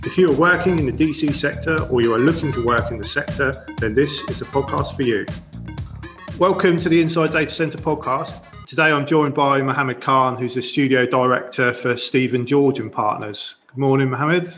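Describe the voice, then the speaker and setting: A man's voice: medium pitch, normal speed, fairly bright tone, soft background music. A man hosting an interview podcast, introducing his guest and asking questions in a friendly, chatty way. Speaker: podcast host.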